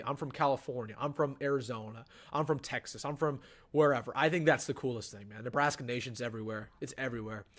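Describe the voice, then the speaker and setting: A young man, hosting a casual live-stream sports show and chatting through viewer questions. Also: mid-range voice. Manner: chatty, animated, answers chat questions. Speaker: sports channel host.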